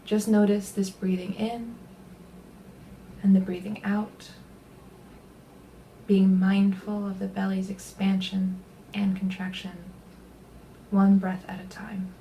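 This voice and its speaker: A woman leading a guided body scan meditation, speaking gently and unhurriedly in a soothing way, with soft, breathy phrase endings. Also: medium pitch, level tone, slow pace, long pauses, a little hiss or hum, poor audio.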